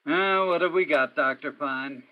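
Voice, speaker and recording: A world-weary male police detective played by a man narrates a hardboiled radio drama. He speaks slowly and deliberately, leaning on dramatic pauses. The sound is dull and lo-fi. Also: fairly high pitch, close to an everyday voice. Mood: terse, questioning. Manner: deliberate, dramatic, poetic second-person delivery with heavy pauses. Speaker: narrator and lead actor in a radio play.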